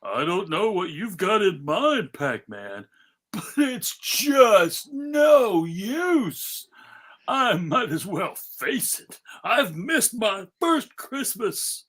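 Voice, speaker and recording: Jolly, fretful old cartoon Santa, acted by a man: hammy, halting, unhurried, very animated. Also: sits high, full character voice. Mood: defeated. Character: jolly but worried old Santa Claus. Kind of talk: live dramatic table read of a cartoon.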